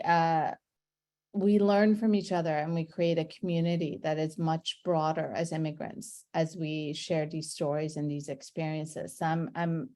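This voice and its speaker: A middle-aged woman from Iran with a somewhat low voice leads a book discussion over a video call. She speaks in an effusive, emphatic way, unhurried and leaving pauses between phrases. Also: a little mellow. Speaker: moderator. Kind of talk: moderating an online book discussion.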